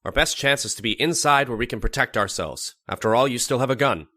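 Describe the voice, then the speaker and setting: A composed doctor with hidden designs , acted by a man in a radio mystery. He talks in a calm, measured, coaxing manner, with a very dry sound. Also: medium pitch, dry recording. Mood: urgent. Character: composed, scheming doctor posing as a protector. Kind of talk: old-time radio mystery acting.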